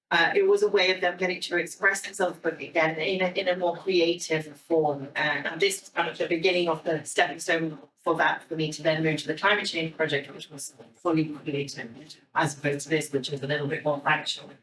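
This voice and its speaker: A woman's voice: fairly low pitch, fairly even intonation, a little fast, a bit bright. An Irish woman giving a live, explanatory presentation to an audience, speaking a little hesitantly. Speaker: theatre director giving a talk. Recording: echoey room, distant microphone.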